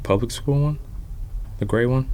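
A man's voice: mid-range voice, normal speed, warm tone, slight background noise. A man speaking as a podcast guest in a laid-back, informal, slangy way. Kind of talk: casual podcast chat about sneakers.

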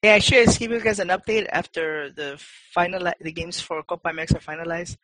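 A man's voice: high-pitched, fairly even intonation, a little fast, fairly bright tone, no echo, poor audio. A man co-hosting a football podcast, giving upbeat match updates in casual chat. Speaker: podcast co-host.

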